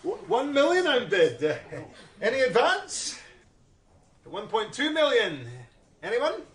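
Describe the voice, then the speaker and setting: An actor playing a formal, polite, slightly flustered auctioneer calling out bids in an audio drama. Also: male voice, very high voice, huge pitch swings, takes it slowly, full character voice. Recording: echoey auction room.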